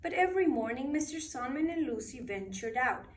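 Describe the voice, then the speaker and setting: A woman reading a bedtime story aloud expressively, with dramatic, deliberate phrasing for children. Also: high-pitched, expressive. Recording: roomy echo, distant microphone.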